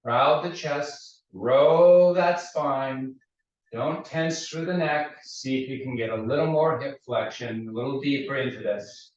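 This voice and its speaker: A male fitness instructor with a slightly hoarse voice, calmly talking a class through a mat mobility routine step by step. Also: mid-range voice, a little slow. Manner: calm step-by-step cueing. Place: fitness studio. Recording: roomy, distant, dull studio sound.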